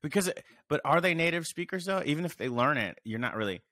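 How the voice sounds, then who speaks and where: A man's voice: a little high, fast, dry recording. A man in his thirties hosting a podcast in a small studio, chatting casually and rambling animatedly. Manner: animated, rambling, reacts with wows. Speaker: podcast host. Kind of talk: casual interview chat. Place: studio with dark curtain. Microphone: studio mic on stand.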